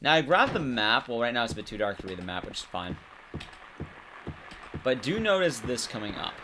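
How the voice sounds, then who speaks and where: Guy doing casual game commentary, super animated pitch swings, faint-to-clear noise and clicks behind him. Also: a little fast. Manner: conversational, explains to the audience. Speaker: streamer and commentator. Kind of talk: casual live gameplay commentary.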